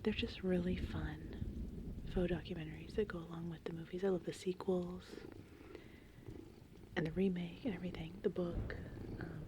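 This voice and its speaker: A woman in her thirties whispering softly and breathily in an informal, rambling ASMR style. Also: on the low side, normal speed, pauses often, noisy background. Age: 30s. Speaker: ASMR channel host. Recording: steady rain and thunderstorm ambience behind. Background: gentle rain and thunder.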